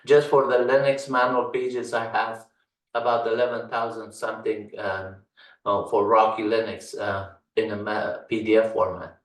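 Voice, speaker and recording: A man from Afghanistan whose first language is Dari, hosting a casual tech tutorial and speaking haltingly with many fillers. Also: lowish voice, flat delivery. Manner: halting, many fillers, lists items slowly. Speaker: channel host and tech instructor. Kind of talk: casual screen-recorded tutorial talk. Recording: roomy echo, mic at a distance.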